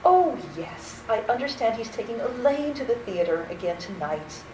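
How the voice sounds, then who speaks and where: A woman's voice: a little high, animated, normal speed, natural voice. A woman playing a sweet, daffy elderly aunt in a radio comedy, genteel, fussy and chirpy. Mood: genteel, chatty. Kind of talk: old-time radio comedy acting. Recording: roomy stage recording with faint noise.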